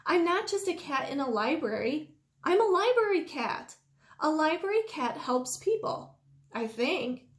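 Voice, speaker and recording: A woman's voice: sits high, sing-song, measured pace, pitched above the performer's own voice. A woman voicing a cheerful, curious young male cat in a children's storybook, earnest and playful. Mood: earnest, determined. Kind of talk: children's storybook read-aloud. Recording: roomy indoor echo.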